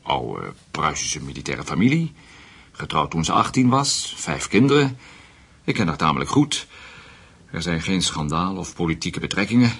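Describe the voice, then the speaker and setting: Husky, deliberate German investigator. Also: male voice, deep voice, very animated, a bit bright, exaggerated voice. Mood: composed, decisive. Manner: deliberate, formal. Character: measured, discreet senior German male investigator, loyal and firm. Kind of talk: Dutch radio play dialogue.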